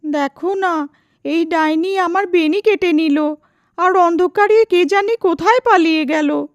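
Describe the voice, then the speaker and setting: A woman voicing a melodramatic young village wife in an animated horror folk tale. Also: very high-pitched, measured pace, no room sound, clean studio sound. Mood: distressed. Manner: wailing, melodramatic.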